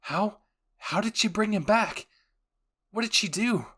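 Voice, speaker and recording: Anime audio roleplay officer, urgent and shaken, mid-pitched as one narrator acts it out. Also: animated, unhurried, a bit bright, husky. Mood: alarmed. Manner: urgent, exclaiming. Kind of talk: anime fan-fiction roleplay.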